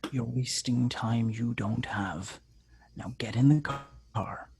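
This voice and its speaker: A man playing a menacing killer who taunts by phone in a table read, slow and deliberate with a raspy, whispery voice. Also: mid-range voice, steady tone, noisy background. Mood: cold, commanding.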